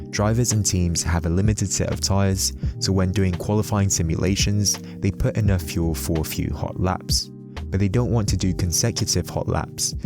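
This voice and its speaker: A man giving a scripted explainer narration as the host of a video. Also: low voice.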